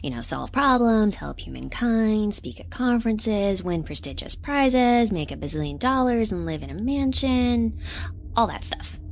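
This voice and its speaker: A woman's voice: mid-range voice, expressive, measured pace, a little mellow, slight background noise. A woman playing an earnest, awkward scientist in a naturalistic science fiction audio drama, chatty and a little hesitant. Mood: chatty, sheepish. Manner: hesitant, chatty, rambling, self-correcting.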